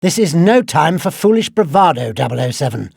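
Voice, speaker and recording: An older British quartermaster in a video game, high in pitch and swooping in intonation. He is pompous and exasperated, nagging in clipped, sardonic phrases. The sound is close and dry. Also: big pitch swings, brisk, gravelly, darkish tone, breathy edge, no room sound, heavily acted. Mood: haughty briefing. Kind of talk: scripted video game mission briefing.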